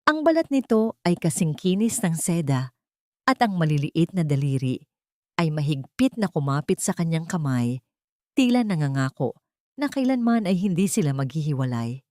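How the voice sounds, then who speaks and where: Animated Filipino woman narrating dramatic stories. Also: low voice, normal speed, no room sound, clean studio sound. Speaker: storyteller, narrator. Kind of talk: scripted dramatic story narration.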